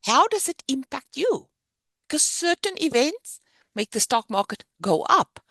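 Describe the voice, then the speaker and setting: A woman with a South African accent presents her program over a video call with upbeat, emphatic energy. Her voice sits fairly high and swoops lively up and down, with a gravelly edge. The sound is dry and close. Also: unhurried, dry recording. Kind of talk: virtual fair presentation.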